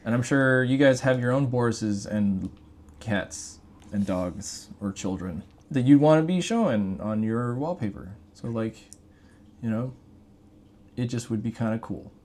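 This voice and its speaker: Man, average pitch, relaxed conversational delivery as gadget review co-host. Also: measured pace, a little hiss or hum. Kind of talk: casual chat.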